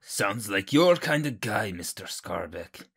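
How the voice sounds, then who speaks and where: An actor playing a grumbling, uneasy, skeptical henchman with a raspy voice in an audio drama. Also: lowish voice, big pitch swings, unhurried, heavily acted.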